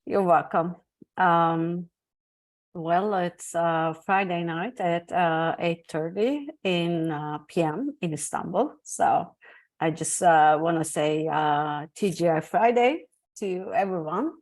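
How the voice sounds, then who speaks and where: A woman's voice: lowish voice, slow pace. A woman from Turkey speaking English with a Turkish accent, giving an online talk over a video call with many hesitant fillers. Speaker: guest speaker, academic. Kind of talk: online presentation.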